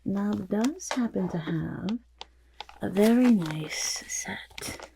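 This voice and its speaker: A woman playing a vain, fussy goblin portrait artist in an ASMR roleplay, with a raspy half-whisper and pompous, flattering, hesitant talk. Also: mid-range voice, drawn-out words, noisy background, heavily acted. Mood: fussy flattery. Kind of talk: ASMR roleplay, soft personal attention.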